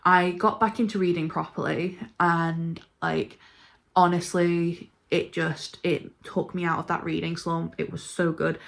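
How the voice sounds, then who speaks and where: A woman with a slightly low voice talks in a casual, informal vlog style. She reviews books in an emphatic, gushing manner, as if chatting to followers. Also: level tone, unhurried, creaky. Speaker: book reviewer vlogger. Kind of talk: casual chat ranking books.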